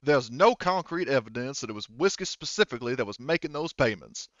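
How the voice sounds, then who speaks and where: A man's voice: mid-range voice, lively intonation, a little fast, fairly bright tone, dry recording, highs cut off, lightly acted. A man voicing an earnest male defense lawyer in a tabletop game, arguing formally and emphatically. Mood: insistent, formal.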